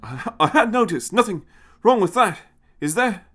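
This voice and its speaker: A man's voice: sits high, expressive, normal speed, a bit bright, a little hiss or hum, voice pushed up. A man voicing a nervous, kindly young man in an audio story, hesitant, stammering and politely awkward. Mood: flustered.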